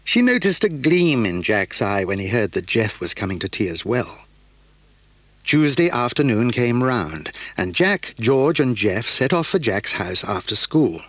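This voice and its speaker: Narrator: man, husky tone, lively intonation, dry close recording. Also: medium pitch, measured pace, no room sound. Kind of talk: scripted storybook narration.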